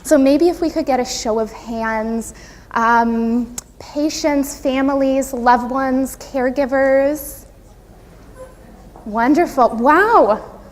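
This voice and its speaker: A woman with a soft voice giving an earnest, gracious conference talk, laying out her points carefully. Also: sits high, takes it slowly. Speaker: conference presenter, occupational therapist. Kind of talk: prepared conference talk to an audience. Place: conference room, speaking to an audience. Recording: echoey hall with audible background noise.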